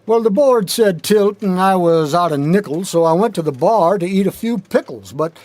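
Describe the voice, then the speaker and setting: A man reading his own poetry aloud in a deliberate, rhythmic, dramatic spoken-word style, recorded in a studio. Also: sits high, expressive, darkish tone, no room sound. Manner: deliberate, measured, rhythmic delivery with pauses. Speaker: poet reading own work.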